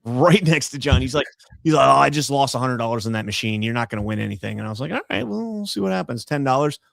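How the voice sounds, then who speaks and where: A man's voice: medium pitch, fast, creaky, dry recording, clean studio sound. A man hosting a podcast in casual chat, telling stories with lots of energy and singing a few lines of songs now and then. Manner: animated storyteller, sings snatches of songs. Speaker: podcast host.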